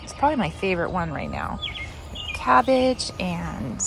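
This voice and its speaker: A woman's voice: on the low side, wildly swooping pitch, unhurried, gravelly. A woman giving a casual walking tour of a garden, chatting and thinking aloud as she goes. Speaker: garden vlog host. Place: outdoor backyard vegetable garden. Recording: noisy outdoor ambience with birdsong. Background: birds and outdoor ambience.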